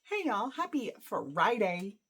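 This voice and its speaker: A woman with a raspy voice from a cold, chatting casually to her camera at home while doing laundry, rambling and laughing often. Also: medium pitch, sing-song, slow, very noisy. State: sick and tired. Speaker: vlogger and teacher. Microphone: camera some distance away.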